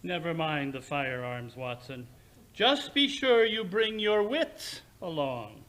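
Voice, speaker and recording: A man playing a brisk, analytical, self-assured English gentleman detective in an old-time radio drama, with precise, formal, theatrical speech. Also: a little high, lively intonation, rough recording. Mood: keen, commanding.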